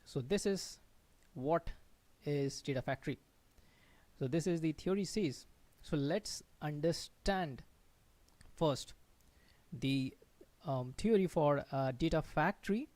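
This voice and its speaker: A man's voice: fairly high pitch, takes it slowly, long pauses, darkish tone. A man giving a live online technical lesson, explaining step by step with frequent fillers and restarts and checking in with his viewers. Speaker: livestream instructor. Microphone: computer mic, quiet at first then turned up.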